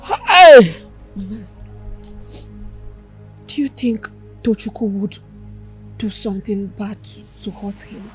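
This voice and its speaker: An actress playing an anxious young woman who is worried about her brother and talks in a slow, fretful way. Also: mid-range voice, fairly even intonation, mellow, soft background music, natural voice.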